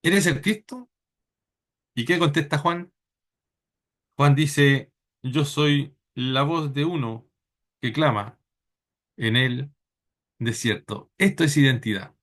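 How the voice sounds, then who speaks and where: A man from Chile, preaching a sermon in church in an earnest, halting way with many repeated words. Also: a little high, fairly bright tone.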